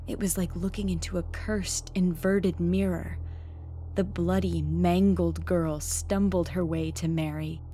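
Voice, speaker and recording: A woman narrating a scripted horror story in a dramatic, measured way. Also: lowish voice, fairly even intonation, warm tone, a little hiss or hum. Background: music.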